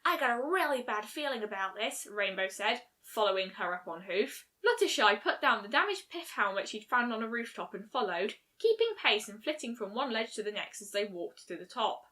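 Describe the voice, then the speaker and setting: A woman's voice: medium pitch, big pitch swings, moderate pace, few pauses, bright, forceful tone. A woman reading a scripted story aloud as narrator. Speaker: fan fiction reader.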